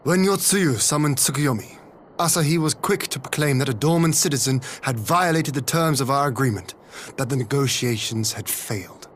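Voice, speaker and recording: A man's voice: medium pitch, a bit bright, a little hiss or hum, natural voice. A man playing a noble young lord in a video game cutscene, speaking formally and resolutely. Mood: resolute, grave. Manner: formal, measured, stately.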